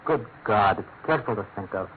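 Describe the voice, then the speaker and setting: An actor playing a troubled, deferential British senior clerk, formal and measured, in a classic radio drama. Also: male voice, lowish voice, lively intonation, close to an everyday voice. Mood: dismayed, mournful. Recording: noisy, narrow-band old radio recording.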